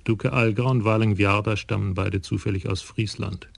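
Brief: male narrator, low and gravelly, wry measured delivery over music. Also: fairly even intonation, no echo. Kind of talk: scripted radio drama narration.

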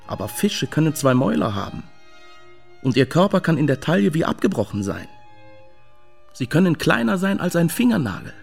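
Radio play, male: brooding fish enthusiast, wry, deliberate, lightish pitch, soft music beneath. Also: very animated, gravelly, breathy edge, exaggerated voice. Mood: wry, absorbed. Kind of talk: German radio drama monologue.

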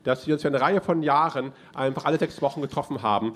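A man with an Austrian accent in a mid-range voice interprets a sermon phrase by phrase. He speaks in a relaxed, casual way and often pauses on filler sounds as he works out each phrase. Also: mid-range voice. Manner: relaxed, with frequent "ähm" fillers.

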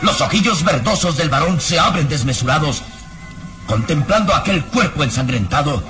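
A man narrating a radio drama in a measured, vivid storytelling manner. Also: fairly high pitch, animated, pushed and bright, faint music behind.

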